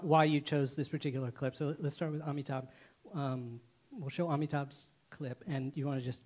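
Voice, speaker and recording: A man with a slightly husky voice moderates a filmmakers' panel. He speaks at an ordinary mid pitch and strings his questions together casually, restarting as he goes. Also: darkish tone. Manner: easygoing, rambling questions.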